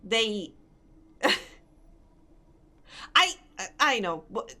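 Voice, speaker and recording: A woman's voice: fairly high pitch, sing-song, drawn-out words, bright, forceful tone. A young woman with a Polish accent chatting casually with her stream chat while gaming, laughing often and answering comments. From Poland.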